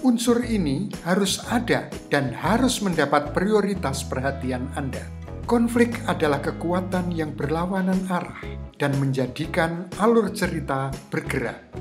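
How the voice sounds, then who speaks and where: A presenter gives scripted instructional narration in a didactic manner, putting rhetorical questions to the listener. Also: mid-range voice. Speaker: host of a screenwriting tutorial. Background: guitar music.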